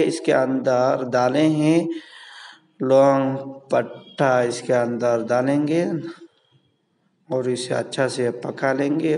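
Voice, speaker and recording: A man's voice: mid-range voice, a little mellow, in a large hall. A man narrating a home cooking video step by step at the stove, repeating himself and urging viewers to subscribe. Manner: slow, repetitive, keeps urging viewers to subscribe. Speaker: cooking vlogger.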